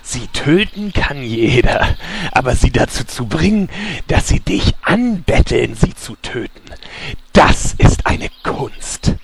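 Slow, swooping smug villain rogue played by a man. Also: medium pitch, big pitch swings, creaky, exaggerated voice. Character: smug villainous male rogue, theatrical and sly. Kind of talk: parody fantasy audio drama acting.

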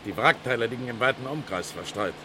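An actor playing a respectful, grave fire brigade captain who reports hesitantly in a 1960s German radio crime drama. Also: male voice, medium pitch, expressive, fast, noisy background, natural voice. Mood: grave, deferential.

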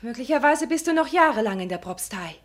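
Casting: fawning, pious wife for radio drama, high breathy voice, hesitant, over-polite, slyly meddling. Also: female voice, animated, a little fast. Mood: slyly suggestive. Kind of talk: German radio drama acting.

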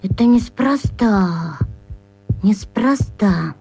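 An actress playing a small, suspicious schoolboy in a children's radio play, earnest, secretive and conspiratorial. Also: female voice, medium pitch, lively intonation, extremely slow, vocal fry, mellow, breathy edge, no echo, full character voice. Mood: wary, conspiratorial.

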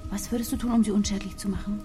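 A woman's voice: mid-range voice, steady tone, racing, over music, close to an everyday voice. An actress playing a brash, commanding female cyber agent in a radio drama, with blunt delivery. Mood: coaxing, insistent. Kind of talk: German radio drama acting.

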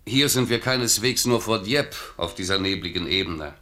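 An idealistic soldier-poet in a radio drama. He declaims with fervor in a slightly low voice. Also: normal speed, bright, forceful tone, a little hiss or hum. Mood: fervent. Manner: fervent, declamatory.

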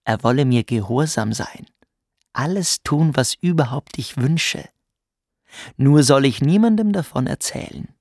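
An actor playing a smug, quietly cruel young boarding-school pupil, telling his story theatrically in a radio play. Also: medium pitch, animated, a little slow, dry recording, studio quality. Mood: scornful. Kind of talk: dramatic first-person storytelling in a radio play.

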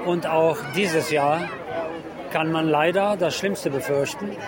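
A man giving a live radio report outdoors in a halting, descriptive way as he observes the scene. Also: a little high. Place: outdoors at a busy city harbour. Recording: loud crowd and outdoor urban harbour noise. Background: large crowd chanting and applauding.

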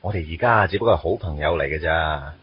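A man's voice: very deep voice, fast, husky, full character voice. An actor playing a blunt, teasing, lovestruck young man in a radio drama. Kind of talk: Cantonese radio drama acting. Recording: dull radio drama recording with music.

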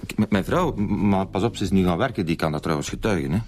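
Casting: man playing flustered, defensive middle-aged Flemish dad, deep voice, stammering and repeating himself, radio drama. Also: fast, a little mellow, natural voice. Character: flustered, defensive middle-aged father covering for his son.

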